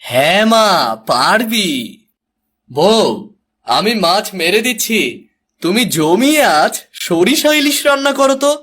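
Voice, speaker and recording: A man playing a poor, good-hearted, cheerful village fisherman in a cartoon folk tale, with drawn-out exclamations. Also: high voice, expressive, fairly bright tone, full character voice. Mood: cheerful, reassuring.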